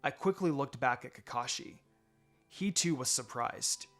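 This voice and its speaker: A man reading fan fiction as a dramatic first-person narrator, with halting pauses and background music. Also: mid-range voice, lively intonation, a bit bright. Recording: music behind the voice.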